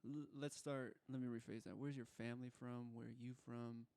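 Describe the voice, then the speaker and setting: A man's voice: medium pitch, fairly even intonation, fairly quick, a little mellow, no room sound. A man hosting a casual interview podcast, talking in a laid-back, slangy way. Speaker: podcast host.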